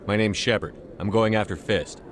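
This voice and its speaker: A man's voice: on the low side, moderate pace, a little hiss or hum, natural voice. A man playing a resolute military commander in a video game, direct and terse. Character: decisive human military commander, brisk and resolute.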